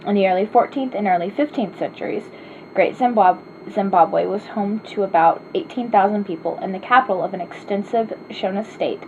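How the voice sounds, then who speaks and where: Arkansas gal vlogging, rambly and self-deprecating, bit muffled, faint hiss behind. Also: female voice, medium pitch. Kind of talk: casual vlog answering questions. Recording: slightly dull home recording with faint hiss.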